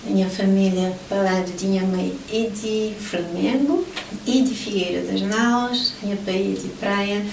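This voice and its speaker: A middle-aged woman from Portugal speaks as a guest, recounting personal experience. Her voice sits at a medium pitch. It is picked up from a distance in a roomy space with faint noise. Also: measured pace, gravelly, a little mellow. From Portugal (Lisbon). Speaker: interview guest, motivational event organizer. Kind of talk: personal life-story interview.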